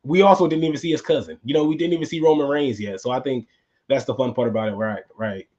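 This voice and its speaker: A man hosting a solo wrestling recap podcast, tired with a voice going hoarse, talking in a casual, rambling way that repeats itself. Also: mid-range voice, quick pace, a little mellow, dull audio. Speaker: podcast host.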